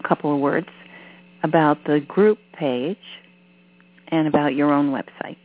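Woman, radio host, very low voice, slow, tinny phone audio with hum. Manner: unhurried, reading out numbers slowly. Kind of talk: casual radio chat with announcements. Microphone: telephone.

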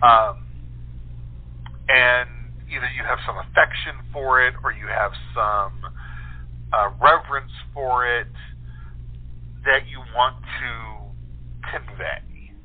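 A man talking casually as an interview guest, thoughtful and halting, often rephrasing as he explains his writing. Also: mid-range voice. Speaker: guest playwright, actor and director. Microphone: telephone call. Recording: tinny phone-line sound.

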